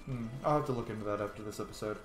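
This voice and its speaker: A man's voice: on the low side, rapid-fire. Echoey man doing casual gameplay commentary. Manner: informal, exclamatory. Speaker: let's play host. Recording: very echoey room, game music underneath. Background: loud game music.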